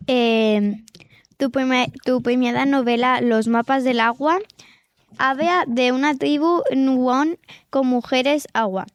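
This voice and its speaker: A woman interviews as a volunteer, delivering a prepared question. Her voice is high, in a dry recording. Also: fairly even intonation, slow, no room sound.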